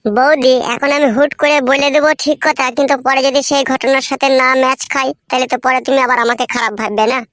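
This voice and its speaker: A man voicing an earnest, cautious village neighbour in a cartoon, with a pitched-up voice. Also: flat delivery, fast talker, fairly bright tone, no echo, studio quality. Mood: confiding, serious.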